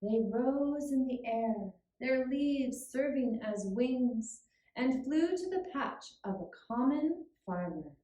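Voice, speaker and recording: A woman narrating a scripted children's puppet-show tale in a measured, deliberate way with pauses. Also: high-pitched, expressive, dark tone. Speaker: storyteller. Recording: roomy, echoing room sound.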